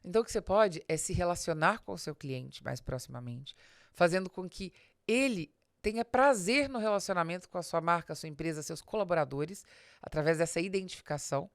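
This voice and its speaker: Low-pitched Brazilian woman giving business advice. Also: no room sound, clean studio sound. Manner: didactic, emphatic, addresses the listener directly. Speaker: podcast host and business strategist. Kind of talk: informal business advice monologue.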